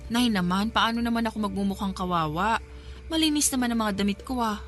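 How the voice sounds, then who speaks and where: A woman's voice: medium pitch, fairly quick, faint music behind, natural voice. A woman playing a dutiful, bashful young daughter with a Filipino accent, pleading respectfully in a radio drama. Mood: protesting, concerned.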